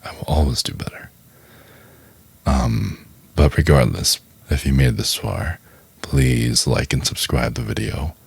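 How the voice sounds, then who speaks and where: A man with a deep, gravelly, breathy voice talking casually and haltingly to his viewers as an ASMR creator. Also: no room sound.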